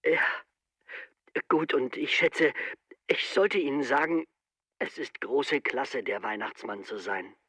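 A man's voice: medium pitch, fairly bright tone, husky, no echo. An actor playing a gullible, bashful small-town family man in a radio drama, speaking hesitantly and naively. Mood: touched, dreamy. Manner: hesitant, naive, halting, tags phrases with "eh".

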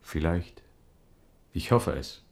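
Radio drama officer: grave loyal poet, low-pitched, formal and deferential to his sovereign. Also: expressive, slow pace, vocal fry, darkish tone, exaggerated voice. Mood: grave, resigned. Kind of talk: German radio drama acting.

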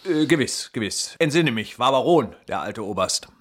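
An actor playing an evasive, ingratiating aristocratic schemer in a radio play, halting and affected. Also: male voice, mid-range voice, expressive, fairly bright tone. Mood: apologetic.

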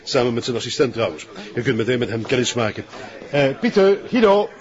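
An actor playing a smarmy, self-important middle-aged police chief with a Flemish accent, often hesitating and chuckling. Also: male voice, medium pitch, sing-song, fairly quick, lo-fi sound, lightly acted. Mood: jovial, flustered. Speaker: police commissioner. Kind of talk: naturalistic TV crime drama acting.

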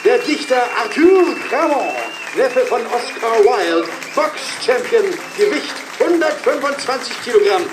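An actor playing a bombastic, declamatory boxing announcer in a radio drama. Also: male voice, very high voice, lively intonation, unhurried, hardly any pauses. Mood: grandiose. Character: bombastic male boxing-match announcer in 1914 Paris. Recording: echoey, loud crowd and noise.

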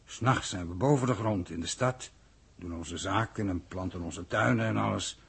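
Gravelly, bewildered thirsty city-dweller. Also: male voice, sits low, normal speed, a bit bright, full character voice. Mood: hesitant, puzzled. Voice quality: husky, gravelly. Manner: hesitant, earnest, bewildered. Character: thirsty, confused, submissive male city-dweller from a future underground society. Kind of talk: 1960s Dutch radio drama acting. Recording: old 1960s radio recording.

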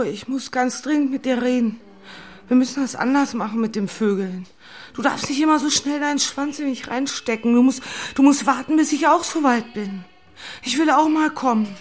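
A woman's voice: fairly high pitch, lightly acted. An actress playing an emotional, impulsive young woman in love turmoil, in a dramatic radio play style. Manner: dramatic, volatile, shifting into murmurs. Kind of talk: German radio play acting. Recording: music underneath.

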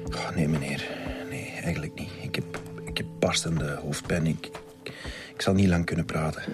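A man playing a shaken, injured nurse in a radio drama, dazed and stammering, with a breathy Flemish-accented voice. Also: extremely low pitch, fairly even intonation, loud music behind the voice. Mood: pained, weak. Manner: halting, stammering, repeating words. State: in pain, dazed. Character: shaken, injured male nurse giving halting testimony. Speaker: nurse, witness.

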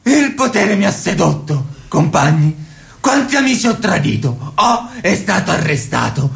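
A man playing a proud, haunted old revolutionary in a radio drama, grandiose and declamatory, with a gravelly voice. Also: fairly high pitch, big pitch swings, a little slow, fairly bright tone, reverberant, exaggerated voice. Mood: grandiose, anguished. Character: proud, guilt-ridden old male revolutionary, fallen and afraid.

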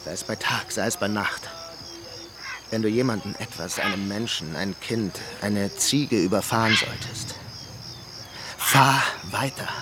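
A man playing a grim city insider in a radio drama, speaking in clipped phrases with deliberate pauses. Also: fairly low pitch, over loud music, natural voice. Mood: grave warning. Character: grim male local insider warning of city's brutality.

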